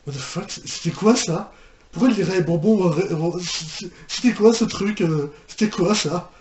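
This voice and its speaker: A man's voice: sits high, moderate pace, darkish tone. A man giving casual reaction commentary, often repeating himself and hesitating in disbelief. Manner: hesitant, repetitive, incredulous exclamations. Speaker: reaction video host. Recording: echoey room, faint noise.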